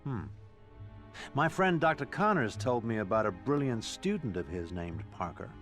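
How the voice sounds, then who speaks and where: A man's voice: mid-range voice, animated, moderate pace, fairly bright tone, faint music behind, lightly acted. An actor voicing a proud, courteous male scientist in a video game, explaining his invention with enthusiasm.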